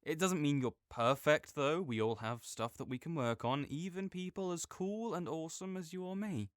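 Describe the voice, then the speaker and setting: A man's voice: on the high side, normal speed, dry recording, clean studio sound. A young man in an audio roleplay, talking as a supportive friend in a playful, reassuring way.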